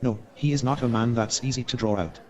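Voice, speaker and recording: An actor playing a courteous, gossipy Victorian gentleman in a staged radio play, formal and measured in his hesitant explanations. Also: medium pitch, natural voice. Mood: courteous, hedging.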